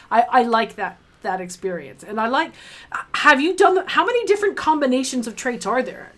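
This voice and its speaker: A woman hosts a casual livestream interview. Her voice sits at a middling pitch. She talks in an animated, conversational way and often voices short quoted asides. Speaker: host interviewing guests.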